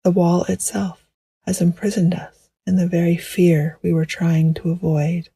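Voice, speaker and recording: A woman guiding a meditation in a gentle, hushed, poetic style, with a soft, breathy voice that often nears a whisper. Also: lowish voice, little pitch movement, no room sound. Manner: gentle, hushed, unhurried, soothing. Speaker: meditation guide. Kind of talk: slow poetic guided meditation narration.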